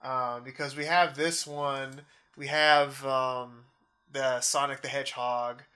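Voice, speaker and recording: A man's voice: mid-range voice, level tone, takes it slowly, bright, forceful tone. A man co-hosting a podcast in casual chat, giving his opinions with enthusiasm. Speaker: podcast co-host. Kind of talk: casual chat about game movies.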